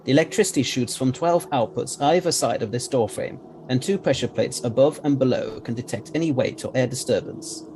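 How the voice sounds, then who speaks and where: Man playing brisk, clever scientist adventurer. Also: medium pitch, darkish tone, a little hiss or hum, natural voice. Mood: confident. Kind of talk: audio drama acting.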